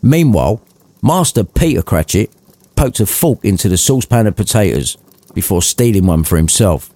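Man narrating a story, lively and dry. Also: fairly low pitch, moderate pace, dry recording. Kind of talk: dramatic, irreverent audiobook narration.

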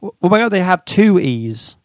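A man's voice: high voice, very animated, moderate pace, no echo, studio quality. A British man co-hosting a podcast, acting as quizmaster in casual banter with a teasing, sweary manner. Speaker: podcast co-host.

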